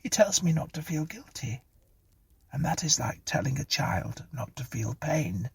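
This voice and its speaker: A man voicing a frantic, pleading, guilt-haunted woman in a thin, breathy, tremulous falsetto for a storybook. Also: sing-song, unhurried, no room sound, exaggerated voice. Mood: confused, apologetic.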